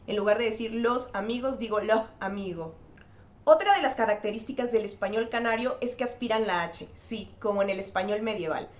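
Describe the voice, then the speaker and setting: A woman linguist hosting a scripted educational explainer, speaking clearly and spelling out examples. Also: fairly high pitch, echoey room. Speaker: linguist and channel host.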